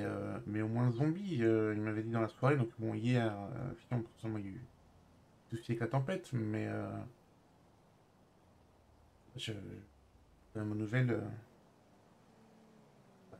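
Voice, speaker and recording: A man playing a hesitant, reserved character in improvised roleplay, speaking halting conversation that often trails off. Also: fairly low pitch, steady tone, gravelly, a little mellow, slight background noise. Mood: resigned, halting.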